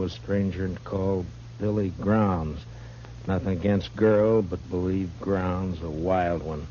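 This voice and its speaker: An actor playing a stern, weary western marshal with a terse, authoritative manner in 1950s radio style. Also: male voice, sits low, dark tone. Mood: thoughtful. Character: stern, weary frontier marshal, steady lawman. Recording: muffled, noisy old radio recording.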